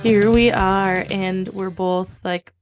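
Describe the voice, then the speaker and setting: Slightly hoarse woman, casual and rambling. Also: medium pitch, steady tone, slow pace, music in the background. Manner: rambling, informal, frequent swearing. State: slightly hoarse, recovering from voice loss. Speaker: podcast co-host. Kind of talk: casual chat.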